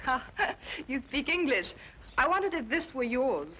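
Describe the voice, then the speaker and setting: Young Frenchwoman, French accent, high light voice, playful and polite, vintage mystery drama. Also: sing-song, a little slow, bright, forceful tone, a little hiss or hum, heavily acted, rough recording. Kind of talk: old-time detective drama acting.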